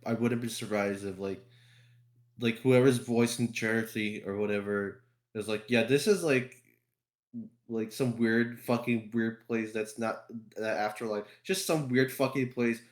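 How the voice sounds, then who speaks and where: A man's voice: lowish voice, steady tone, a little slow. Roomy-sounding man rambling and swearing. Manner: heavy casual swearing, rambling. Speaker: podcast co-host. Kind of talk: casual chat.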